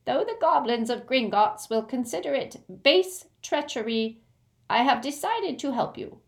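A stern storybook goblin , acted out by a woman, pitched well up and swinging widely. He is proud and quick to anger, speaking formally and firmly, as one who makes demands. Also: expressive, gravelly, heavily acted. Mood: solemn. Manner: firm, indignant, formal speech.

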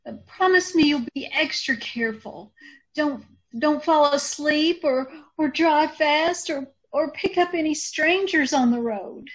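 Worried mother of a grown son, played by a woman, high and slow, hesitant, pleading, radio drama. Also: poor audio. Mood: worried, tearful. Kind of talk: old-time radio drama acting.

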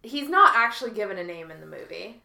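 A woman's voice: medium pitch, expressive, fast, gravelly, sharp tone, roomy sound. A woman co-hosting a podcast with dry, wry humour in casual comedic chat. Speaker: podcast co-host.

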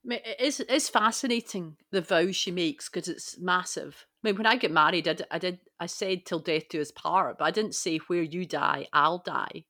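A woman's voice: on the low side, moderate pace, hardly any pauses, bright, forceful tone, no room sound, studio quality. A woman in a conversational Bible discussion, thinking aloud emphatically and posing rhetorical questions. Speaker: podcast panellist.

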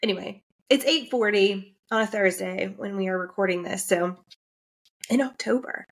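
A woman's voice: mid-range voice, moderate pace. A woman co-hosting a podcast who sounds congested and nasal, upbeat as she runs through the agenda. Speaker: podcast co-host. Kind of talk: casual chat.

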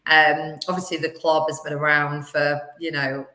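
A woman's voice: deep voice, little pitch movement, normal speed, bright, forceful tone, echoey room, low-quality recording. A woman, chatting as an upbeat guest on a podcast. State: slightly hoarse. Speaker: venue sales director.

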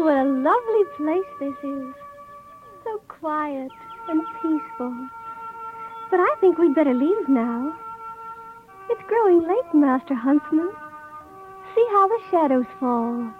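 A timid girlish princess, super high voice, old muffled radio show, music underneath. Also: animated, slow, long pauses. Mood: sweet, carefree. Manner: girlish, earnest, theatrical. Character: sweet, innocent, timid young princess. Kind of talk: 1930s radio acting. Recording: muffled 1930s radio recording, music behind.

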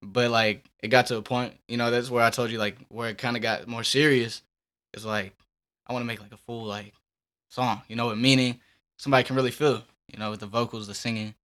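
A young man speaks at an average male pitch as a musician being interviewed. His talk is casual and slangy, loosely strung together with halting turns. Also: little pitch movement, a bit bright. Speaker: musician guest. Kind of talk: casual chat.

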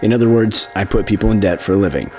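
A man's voice: on the low side, quick pace, loud music behind the voice. Male podcast host, casual and talkative, garage studio sound. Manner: loose and chatty, laughs often, many fillers. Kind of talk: casual interview chat.

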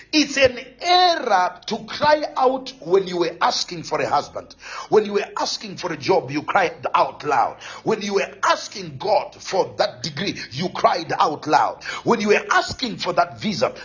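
A man's voice: high voice, a bit bright. A man preaching a charismatic sermon in a declamatory, repetitive, exhorting manner, stretching key phrases. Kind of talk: charismatic Pentecostal sermon with bursts of tongues. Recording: dull lo-fi sound, faint music behind.

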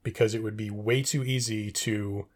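A middle-aged man in a casual trivia discussion, rambling and thinking out loud as he talks. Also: on the low side, steady tone, moderate pace. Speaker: podcast co-host.

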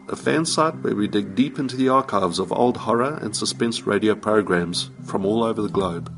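A man reading a scripted podcast introduction as host, in a measured announcer manner. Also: lowish voice. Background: music.

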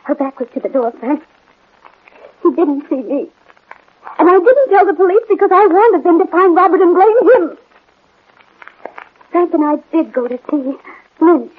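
A woman playing a guilt-ridden, vengeful woman confessing in a 1940s radio crime drama, halting and melodramatic. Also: very high voice, animated. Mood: distraught, confessing. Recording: muffled narrow-band vintage 1940s radio recording.

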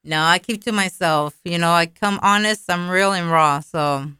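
A woman's voice: on the low side, moderate pace, pushed and bright, smooth, no room sound, clean studio sound. A woman guest on a radio talk show, easygoing and frank, laughing often. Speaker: guest, school committee member. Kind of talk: casual radio interview. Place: radio studio.

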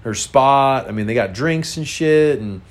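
A man's voice: medium pitch, animated, a little slow. Congested man telling a rambling story. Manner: rambling, frank, full of fillers. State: congested, nasal. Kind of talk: casual personal storytelling.

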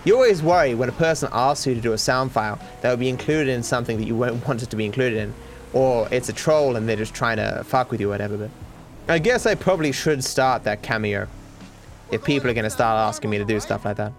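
A tired man with a cultivated Australian accent muses through viewer questions in a loose, casual ramble. He trails off and restarts often, leaning on fillers as he thinks aloud at a middling pitch. Faint music plays quietly beneath his voice. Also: fast talker. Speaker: streamer answering viewer questions.

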